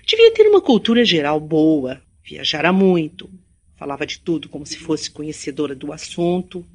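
A woman's voice: low-pitched, sing-song, moderate pace, a little mellow, exaggerated voice. Radio drama: an articulate young city woman, frank and kind, formal diction. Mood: reflective, earnest. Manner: formal, carefully enunciated speech. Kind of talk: Brazilian radio drama acting.

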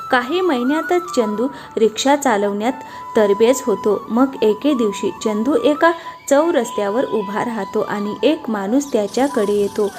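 High-pitched woman narrator over music. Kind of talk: scripted story narration.

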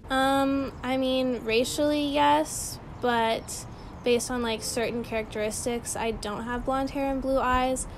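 A 21-year-old student from Seattle answering interview questions in a measured, slightly tentative way. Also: female voice, sits high, steady tone, a little hiss or hum.

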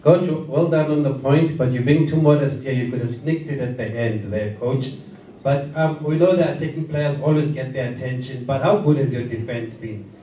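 Mellow-voiced reporter heard off-mic. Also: male voice, mid-range voice, normal speed, distant mic, slight background noise. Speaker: sports journalist. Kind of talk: press conference question. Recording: echoey room, off-mic.

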